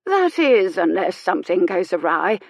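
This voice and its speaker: A woman voicing a sharp-tongued, dying elderly noblewoman with a wry, imperious manner. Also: on the low side, very animated, moderate pace, vocal fry, dry recording, exaggerated voice. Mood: confiding. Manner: tart, teasing, grandly authoritative.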